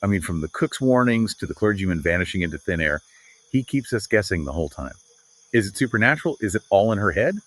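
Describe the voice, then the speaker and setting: A man's voice: lowish voice, big pitch swings, fast talker, fairly bright tone, no room sound. A man co-hosting a podcast, discussing literature conversationally with an emphatic, dramatic delivery. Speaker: podcast co-host.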